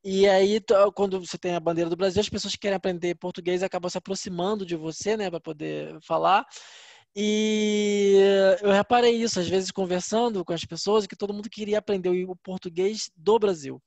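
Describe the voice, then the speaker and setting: A Brazilian man co-hosts a relaxed podcast chat in an earnest, agreeable way. He often breaks off and restarts his phrases. His slightly high voice is recorded dry and close. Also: a little fast, creaky, dry recording. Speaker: podcast co-host.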